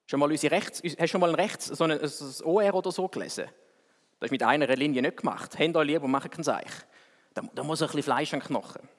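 A man with a Swiss German accent, preaching a sermon in a conversational, wry way that swings between quick and slow delivery. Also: fairly high pitch. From Switzerland. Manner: conversational, wry, speeds up and slows down for emphasis. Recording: long reverb of a hall.

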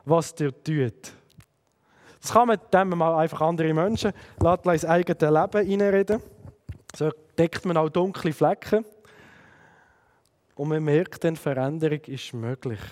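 Swiss German man in his thirties, earnest sermon delivery, voice a bit high, long reverb. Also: darkish tone. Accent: Swiss German, Sense district of Fribourg. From Switzerland. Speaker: preacher.

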